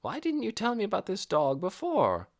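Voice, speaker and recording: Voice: medium pitch, huge pitch swings, moderate pace, voice pushed up. A narrator voicing a cautious, practical grown woman in an audiobook, skeptical and wry. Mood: puzzled.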